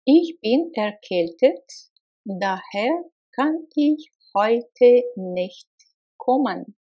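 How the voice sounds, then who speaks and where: A woman teaching phrases, saying each one slowly and deliberately and repeating it for learners. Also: fairly high pitch, lively intonation. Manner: slow, deliberate, clearly spaced repetitions. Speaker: language teacher. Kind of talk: slow repeated phrase drills. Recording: slightly dull lo-fi sound.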